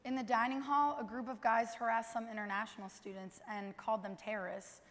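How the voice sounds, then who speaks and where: A woman's voice: on the high side, fairly even intonation, fairly quick, fairly bright tone. A young woman delivering a scripted stage recitation as a student performer. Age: young adult. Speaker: student performer in a readers theater. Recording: reverberant hall.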